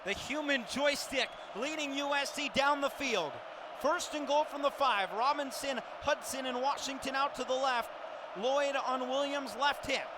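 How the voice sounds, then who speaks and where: A man's voice: very high voice, moderate pace, a crowd in the background. A man calling football play-by-play for radio in clipped, descriptive phrases, projecting his voice like a sports announcer. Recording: loud stadium ambience behind the voice. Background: stadium noise.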